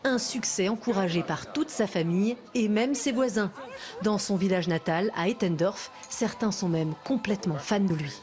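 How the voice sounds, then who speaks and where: A woman presenting a TV segment, narrating a report and then explaining it with lively energy on set. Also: medium pitch, normal speed. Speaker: TV chronicler. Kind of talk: report voice-over and studio chat.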